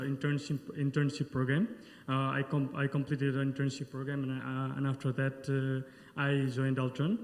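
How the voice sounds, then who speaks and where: A man's voice: fairly high pitch, darkish tone. Hesitant man from Nepal in a hall. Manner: hesitant, many fillers and restarts. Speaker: panel speaker. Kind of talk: conference testimonial. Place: large conference hall. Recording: long hall reverb.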